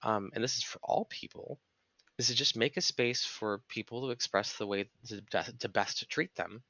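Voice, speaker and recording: A man's voice: lowish voice, moderate pace, bright, forceful tone, no room sound, highs cut off. A man speaking as a podcast guest in reflective conversation, explaining things earnestly and analytically in long sentences.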